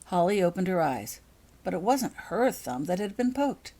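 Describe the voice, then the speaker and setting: Animated woman narrator with lowish pitch. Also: moderate pace. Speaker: author reading own story. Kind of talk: audiobook-style story narration.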